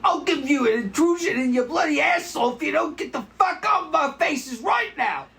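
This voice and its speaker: A man's voice: extremely high pitch, animated, a little fast, gravelly, a little hiss or hum, heavily acted. A man playing a hot-headed, foul-mouthed young tough with a mixed Australian and Brooklyn accent at a table read. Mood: furious. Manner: combative, swearing, shouting. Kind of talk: amateur script table read.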